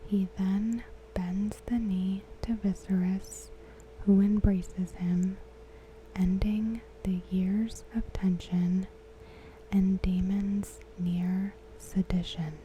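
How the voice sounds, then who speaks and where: A woman reads aloud softly in an ASMR style, in a hushed, breathy whisper with short phrases and long pauses. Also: mid-range voice, flat delivery, slight background noise, rough recording. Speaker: ASMR host.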